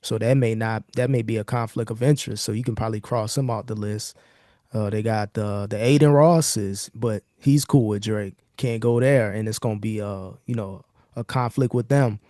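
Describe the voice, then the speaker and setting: Man, mellow dark tone, dry close sound, podcast host, laid-back slangy commentary. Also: lowish voice, steady tone, normal speed, no room sound. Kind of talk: casual chat and commentary on news.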